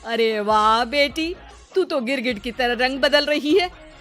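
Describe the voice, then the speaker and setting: A high-pitched, greedy middle-aged mother from a cartoon morality tale, played by a woman. She is sharp-tongued and dramatic, quick to scold and demand. Faint music sits behind her. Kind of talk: Hindi cartoon moral story acting.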